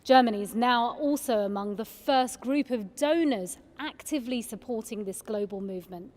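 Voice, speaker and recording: Female event host, higher-pitched voice, scripted formal presenting, long reverb around the voice. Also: normal speed, darkish tone. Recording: long reverberant hall echo.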